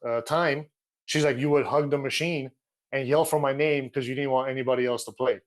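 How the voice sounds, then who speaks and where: A man's voice: medium pitch, a little fast. Young man from Los Angeles, interview guest, easygoing storyteller heard through an earphone mic on a call. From Los Angeles, USA. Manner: relaxed storytelling, with frequent fillers. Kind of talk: casual interview. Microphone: video call with earphone mic.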